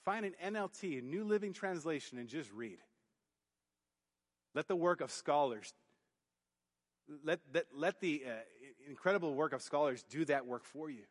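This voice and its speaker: A youth pastor preaching a sermon in church, booming then dropping to quiet emphasis. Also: male voice, animated, moderate pace, long pauses. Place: church sanctuary. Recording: long hall reverb. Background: hall reverb.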